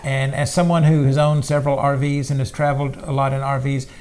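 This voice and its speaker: A man's voice: medium pitch, little pitch movement, a little fast, a little mellow, a little hiss or hum. A middle-aged man hosting his own travel vlog, chatting casually and playfully with his father indoors. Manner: chatty, playful, asks questions. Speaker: vlog host. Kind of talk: casual chat.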